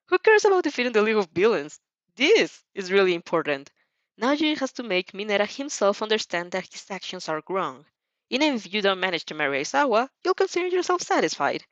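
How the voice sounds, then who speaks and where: A woman reading fan fiction aloud as an expressive, theatrical storyteller who now and then stumbles over words. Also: medium pitch, no room sound, highs cut off. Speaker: fan fiction reader and host. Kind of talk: expressive storybook narration with character voices.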